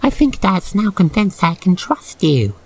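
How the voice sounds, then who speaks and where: An expressive narrator guiding the listener through an ASMR roleplay story in a gushing, sentimental manner. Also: mid-range voice, a little slow, husky.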